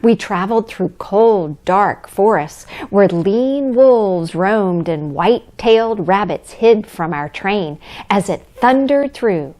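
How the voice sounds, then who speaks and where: A woman plays Santa's kindly wife as a storybook narrator for young children. The delivery is gentle and cheerful, taken at a leisurely pace. The melody rises and falls with plenty of expression. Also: medium pitch, animated, takes it slowly, hardly any pauses. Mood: gentle, wondering. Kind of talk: children's storybook read-aloud.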